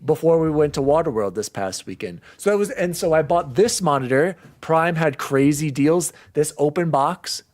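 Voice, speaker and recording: A man hosting a casual gaming podcast, venting his complaints with an exasperated, animated delivery. His voice sits a little high and swings widely in pitch as he talks. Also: moderate pace, few pauses. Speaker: podcast host.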